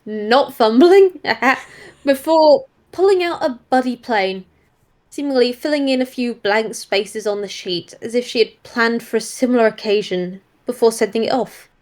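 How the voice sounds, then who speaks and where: Female role-play narrator, higher-pitched voice, expressive read-aloud storytelling. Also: unhurried.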